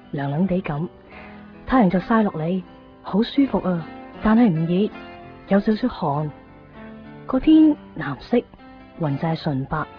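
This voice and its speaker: A woman's voice: fairly low pitch, lightly acted. A woman playing a wistful, innocent young woman in love in a radio drama, dreamy and confiding. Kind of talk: Cantonese radio drama narration and dialogue. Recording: dull lo-fi radio recording, music behind.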